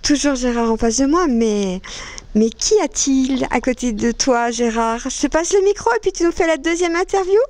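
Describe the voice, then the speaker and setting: A woman with a high voice hosts the interviews. She is friendly and brisk as she wraps up and hands over. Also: darkish tone, a little hiss or hum. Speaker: interview host. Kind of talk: casual interview hosting.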